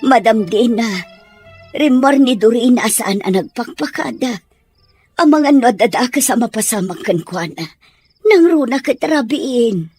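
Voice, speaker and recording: A woman's voice: a little high, lively intonation, a little slow, darkish tone, breathy edge, soft background music. A woman with an Ilocano accent playing a worried, caring household helper in a comedy-drama podcast.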